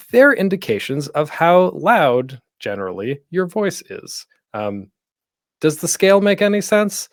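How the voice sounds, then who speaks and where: A man's voice: mid-range voice, dry recording. A man presenting a casual, conversational tutorial as a panel host, rambling and self-deprecating with jokey, geeky asides, speaking close to the microphone. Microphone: close microphone with a pop screen.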